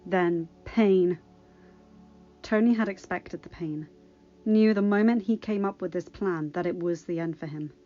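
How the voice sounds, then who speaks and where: A woman reading a story aloud as a podfic narrator, dramatic and with emphatic pauses. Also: fairly low pitch, dark tone, dull audio, faint music behind.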